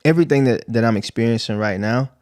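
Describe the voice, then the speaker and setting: A man's voice: mid-range voice, fast talker, darkish tone, no echo. A man talking as a podcast guest in a laid-back, easygoing way, dropping "you know" and "man" often. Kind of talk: casual interview chat.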